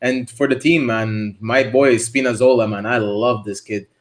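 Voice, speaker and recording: A man's voice: mid-range voice, moderate pace. A man on a podcast chatting casually and enthusiastically about football. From Canada. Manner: animated, enthusiastic football talk. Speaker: podcast co-host. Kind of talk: casual chat.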